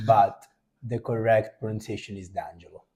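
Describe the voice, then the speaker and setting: Sicilian man, non-native English, casual co-host chat, warm low tone. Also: fairly even intonation, lo-fi sound. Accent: Italian. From Sicily, Italy. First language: Italian. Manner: halting, searching for English words.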